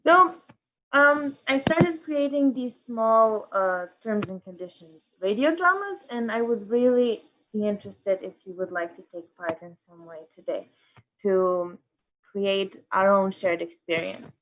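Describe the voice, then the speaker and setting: A woman's voice: mid-range voice, expressive, a little slow, a bit bright, a little hiss or hum, poor audio. A woman hosting a workshop, presenting informally in a hesitant way as she searches for words. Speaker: workshop host and artist.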